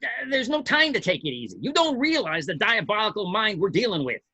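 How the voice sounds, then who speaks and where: Man acting agitated, husky, cornered studio boss. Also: sits high, sing-song, brisk, pushed and bright, dry recording, full character voice. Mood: angry, urgent. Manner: nervous, urgent, pleading. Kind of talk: amateur table read of a film script.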